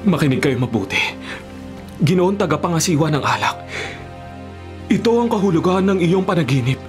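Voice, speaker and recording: A man's voice: on the high side, lively intonation, normal speed, over music, natural voice. An actor playing an earnest, devout young servant man in a Filipino radio drama, respectful and heightened in delivery. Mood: earnest, solemn.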